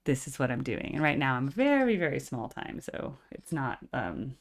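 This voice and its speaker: A woman's voice: very deep voice, big pitch swings, moderate pace. A woman in her forties with a full, operatically trained voice, chatting casually as a reaction channel host and filling her talk with "like". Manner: chatty, constant filler "like".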